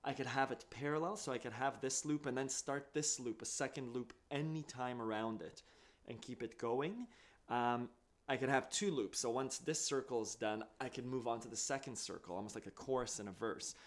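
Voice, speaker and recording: A man in his thirties, an accordion teacher, explaining things step by step in a relaxed live-stream lesson from a small home music room. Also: medium pitch, normal speed. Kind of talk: casual live-stream demonstration. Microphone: studio mic on boom arm, wearing headphones. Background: accordion and looped music.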